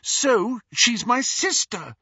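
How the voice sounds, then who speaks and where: A man's voice: sits high, sing-song, moderate pace, a bit bright, breathy edge, no room sound, muffled recording, voice pushed up, heavily acted. A man voicing a young girl in an audiobook story, earnest, hurt and protesting. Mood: upset.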